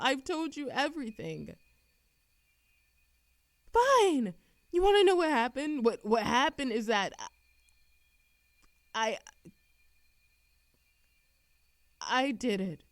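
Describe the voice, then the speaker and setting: A woman playing a lovesick, defensive immortal in an audio roleplay, speaking in a halting, emotional way to the listener. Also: high voice, wildly swooping pitch, no echo, exaggerated voice. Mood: defensive, agitated. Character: lovesick immortal woman, defensive, reckless, confessing to a Grim Reaper. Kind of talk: audio roleplay monologue, acted intimately to the listener.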